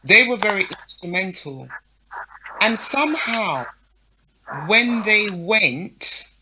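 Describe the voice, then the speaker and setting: A woman's voice: medium pitch, big pitch swings, takes it slowly, background noise. A woman guest on a talk podcast, a show promoter speaking frankly and often checking in with her listener. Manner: frank, lists names, checks in with "yeah?" and "right?". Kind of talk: casual interview talk.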